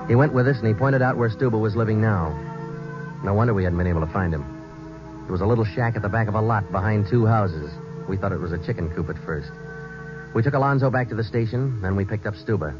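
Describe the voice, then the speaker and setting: An actor playing a terse, deadpan male police sergeant who narrates an old-time radio detective drama. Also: fairly low pitch, close to an everyday voice. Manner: clipped, deadpan. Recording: dull vintage radio recording, music behind.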